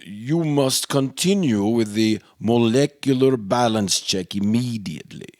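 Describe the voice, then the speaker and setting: A man's voice: medium pitch, a little slow, a little mellow, no room sound, studio quality, natural voice. A man playing a brusque, self-important professor in a radio drama, speaking formally and giving clipped orders. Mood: urgent command.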